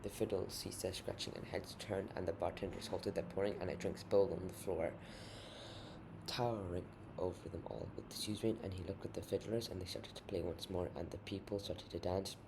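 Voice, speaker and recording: A man narrates a short story in a grave, literary way. His voice is deep and heavily creaky, full of gravel. The amateur recording has clearly audible noise behind him. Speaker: author reading own short story. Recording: noisy amateur home recording.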